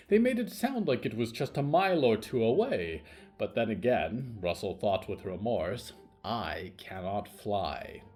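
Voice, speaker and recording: Male narrator, eerie fiction: measured, ominous, dramatic delivery with very animated intonation. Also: lowish voice. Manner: theatrical, deliberate, ominous. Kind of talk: scripted horror fiction narration.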